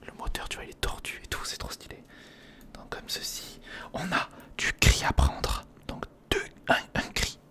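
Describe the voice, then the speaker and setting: Whispering casual French-language ASMR creator. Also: moderate pace. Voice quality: breathy, unvoiced whisper. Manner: casual, thinking aloud while assembling, repeats words. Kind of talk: ASMR, whispered build-along commentary. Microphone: close recorder microphone.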